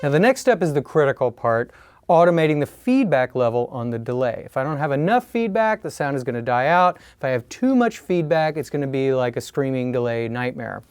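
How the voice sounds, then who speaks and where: A man's voice: mid-range voice, very animated, a little fast, darkish tone, clean tone, dry recording. An American man in his forties giving plain-spoken, scripted tutorial narration in a studio. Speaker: tutorial host. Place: studio, indoors.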